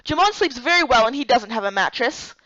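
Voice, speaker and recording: Stern fantasy-game leader played by a woman, high voice, haughty and dismissive, dry lo-fi sound. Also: animated, brisk, a bit bright, no room sound. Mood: impatient, dismissive. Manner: curt, dismissive.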